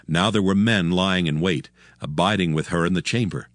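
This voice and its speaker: A man's voice: low voice, moderate pace, dry recording, clean studio sound. A man narrating scripted scripture as the storyteller of a dramatized Bible audio production.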